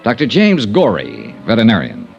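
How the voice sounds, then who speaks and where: A man's voice: on the low side, wildly swooping pitch, normal speed, gravelly, faint music behind, exaggerated voice. A man playing a hardboiled insurance investigator in an old radio detective drama, wry and probing, with clipped delivery. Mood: dry narrating. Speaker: series lead and narrator. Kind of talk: old-time radio detective drama, acting and first-person narration. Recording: muffled old radio recording.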